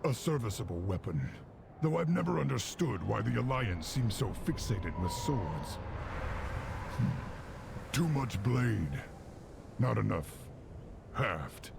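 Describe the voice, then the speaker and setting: A gruff orc warrior, with a heavily gravelled voice and swooping delivery. He is proud and grave, a noble fighter from a video game. Clear noise sits behind him. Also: lowish voice, sing-song, slow pace, pauses often, exaggerated voice. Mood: gruff, appraising. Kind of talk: video game cinematic acting. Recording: noisy game audio with ambience behind.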